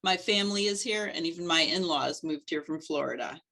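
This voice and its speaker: Middle-aged woman coordinator, low and bright, clear steady delivery, webcam at home. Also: brisk. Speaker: study coordinator. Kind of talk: scripted presentation. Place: living room at home.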